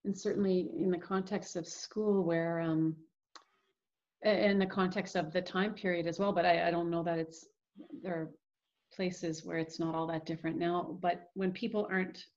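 A woman's voice: on the low side, level tone, normal speed, a little mellow. A woman hosting a podcast, asking searching questions with many restarts. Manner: searching, restarts often, many listening sounds. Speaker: podcast host. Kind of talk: interview questions. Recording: dull lo-fi sound.

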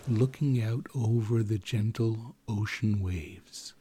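Slow, low-voiced man guiding sleep meditation. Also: dark tone, a little hiss or hum. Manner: slow, soothing, with long pauses. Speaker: guided meditation narrator.

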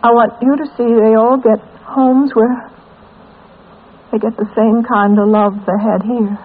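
A woman playing a dying, weary, tender mother in a 1940s radio drama, with weak, halting speech. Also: on the high side, level tone. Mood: weary, urgent. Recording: muffled, hissy old radio recording.

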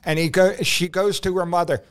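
A man co-hosting a podcast, telling stories in a halting way with punchy asides. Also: high-pitched, fairly even intonation, smooth. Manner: storytelling, halting with many uh fillers, punchy asides. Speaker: podcast co-host. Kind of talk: casual chat.

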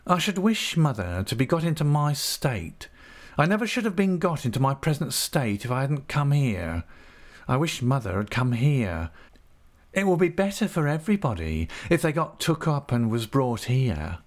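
A man's voice: mid-range voice, very animated, moderate pace, voice pushed up, close to an everyday voice. A man reading an audiobook, voicing a falsely humble, scheming young male clerk with an oily, cringing manner. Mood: whining. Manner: oily, cringing humility with a whining edge. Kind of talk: audiobook character voice.

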